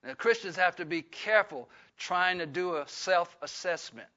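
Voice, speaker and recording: Folksy older preacher, bright voice. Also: male voice, high-pitched, moderate pace. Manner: folksy, digressive, frequent fillers and restarts. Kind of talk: Bible-study sermon. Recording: dull lo-fi recording.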